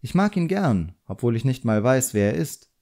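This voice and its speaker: A man's voice: medium pitch, big pitch swings, normal speed, a little mellow, pitched above the performer's own voice. Man doing an earnest, touchy boy apprentice magician.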